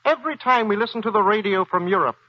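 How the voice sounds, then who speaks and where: An actor playing a preachy, self-righteous small-town pacifist who speechifies pompously in a 1940s radio drama. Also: male voice, high-pitched, fast talker, dry recording. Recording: dull 1940s radio broadcast.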